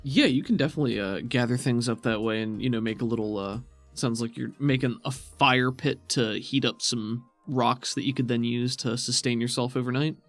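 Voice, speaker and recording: A man's voice: medium pitch, fairly even intonation, normal speed, hardly any pauses, soft background music. A man running a tabletop game as game master, talking casually and encouragingly to players.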